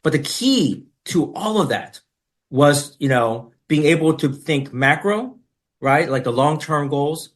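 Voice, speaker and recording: A middle-aged man, a podcast guest, telling his story in relaxed conversation, with many fillers and "right?" tags. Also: a little high, slow.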